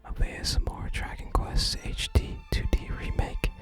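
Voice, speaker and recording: Whispered asmr game playthrough voice, hushed, music playing behind it. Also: moderate pace. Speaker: ASMR gaming YouTuber. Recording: soft music under the whisper.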